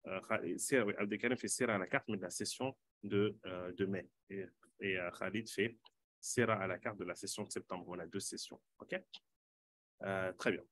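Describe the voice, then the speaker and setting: Low-voiced man hosting a panel. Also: steady tone, normal speed, fairly bright tone, slight background noise. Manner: chatty, self-correcting. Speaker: host.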